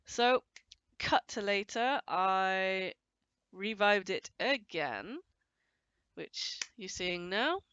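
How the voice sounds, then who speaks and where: A woman's voice: mid-range voice, expressive, drawn-out words, pauses often, a bit bright, clean tone, no echo, highs cut off. A woman with a cold, casually narrating her gameplay in a chatty, rambling way with frequent restarts. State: has a cold, voice a bit off. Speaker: gameplay commentator. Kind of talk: casual let's-play commentary.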